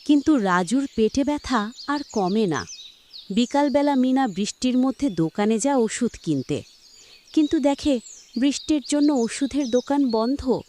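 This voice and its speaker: High-voiced woman narrating a children's story. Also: lively intonation, normal speed, mellow, a little hiss or hum.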